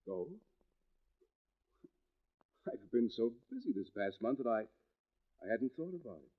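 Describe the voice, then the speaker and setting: An actor playing a courteous, inquisitive and intense doctor in 1940s radio drama style. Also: male voice, medium pitch, very animated, unhurried. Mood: warm. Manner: formal, inquisitive, earnest. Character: courteous, intense male doctor writing about criminal minds. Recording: dull vintage 1940s radio recording.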